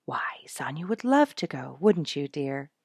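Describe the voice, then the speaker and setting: A woman's voice: low voice, big pitch swings, unhurried, darkish tone, no echo, clean studio sound, heavily acted. A woman voicing a busy, self-satisfied mother in a read-aloud story, speaking with emphasis. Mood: fond. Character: busy, smug mother, a hesitant animal lover.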